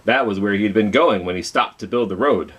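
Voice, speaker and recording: A man's voice: lowish voice, a little fast, a bit bright, husky. A man reading a picture book aloud as a children's storytime host, telling it dramatically and putting questions to his young listeners. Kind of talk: expressive children's read-aloud.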